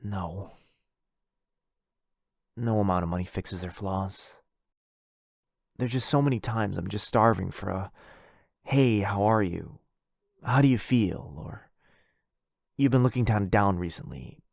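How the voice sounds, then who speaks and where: Casting: male actor as clingy, wounded vampire lover, low voice, close dry sound, brooding intensity, roleplay audio. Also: measured pace, gravelly, long pauses, a little mellow, no room sound. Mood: bitter, hurt. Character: needy, wounded, clingy yandere vampire brother. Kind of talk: boyfriend ASMR roleplay audio drama.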